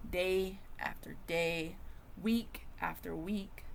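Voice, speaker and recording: A woman reading a children's storybook aloud in an expressive, dramatic way, with varied emphasis and pauses. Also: fairly low pitch, creaky, a little hiss or hum. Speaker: storybook reader.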